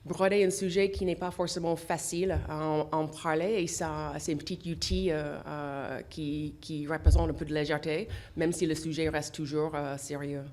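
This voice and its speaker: A middle-aged woman with an English accent speaks French as her second language. Her voice sits very low, and her phrasing is halting, full of "euh" pauses and restarts. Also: fairly even intonation, a little mellow. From English-speaking country. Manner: halting, many fillers and restarts. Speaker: association founder, guest. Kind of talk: radio interview. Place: radio studio.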